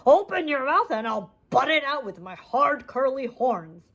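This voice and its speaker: Storybook bull, helpful and emphatic, read by a woman: high, animated, slowly paced. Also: heavily acted. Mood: eager. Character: pushy helpful bull with hard curly horns. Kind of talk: children's storybook character voice.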